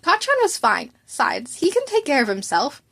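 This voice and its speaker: A woman's voice: high voice, wildly swooping pitch, normal speed, gravelly, a bit bright, voice pushed up, heavily acted. A woman voicing a cheeky, breezy teenage schoolboy in an amateur story reading. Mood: nonchalant.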